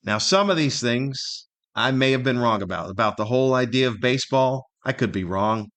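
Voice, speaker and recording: A man's voice: medium pitch, expressive, normal speed, fairly bright tone. A middle-aged American man hosting a solo podcast, talking in a casual, rambling, conversational way and sometimes acting out imagined remarks. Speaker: podcast host and comedian.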